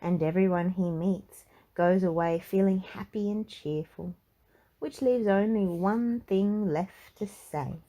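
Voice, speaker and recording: A woman reading a children's storybook aloud in an expressive, measured narrating style. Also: mid-range voice. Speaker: children's book reader.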